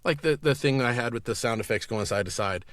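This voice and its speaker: A man's voice: mid-range voice, fairly quick, a bit bright, dry recording. A man chatting casually as a podcast guest, an audio engineer talking with enthusiasm. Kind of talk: casual chat.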